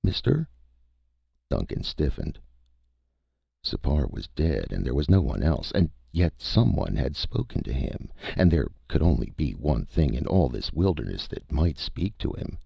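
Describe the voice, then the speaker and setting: Very deep, gravelly male audiobook narrator. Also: sing-song, normal speed, dark tone, dry recording, clean studio sound. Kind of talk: scripted dramatic story narration.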